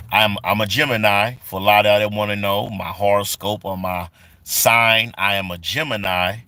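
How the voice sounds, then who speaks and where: A man's voice: lowish voice, sharp tone, no room sound. Forty-year-old man live-streaming casual chat. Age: 40s. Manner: reads chat comments aloud and greets viewers; loose, repetitive, with many fillers.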